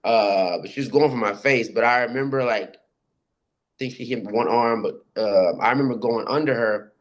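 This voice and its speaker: A man shares personal experiences in a casual discussion. His voice is deep, and he tells things haltingly, often repeating a phrase before he moves on. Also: low-quality recording. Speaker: participant sharing personal experiences.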